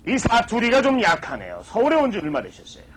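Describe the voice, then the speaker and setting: High-voiced man giving a mock lecture. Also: wildly swooping pitch, quick pace, fairly bright tone. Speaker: comedy lecturer. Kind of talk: mock lecture on swear words. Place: stage with live audience.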